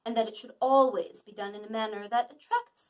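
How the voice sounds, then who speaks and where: A woman's voice: fairly high pitch, fairly even intonation, fairly quick, darkish tone, far from the mic, natural voice. A woman playing a flippant, exasperated young society woman in a theatrical Victorian comedy monologue, arch and emphatic. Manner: arch, emphatic, mock-indignant.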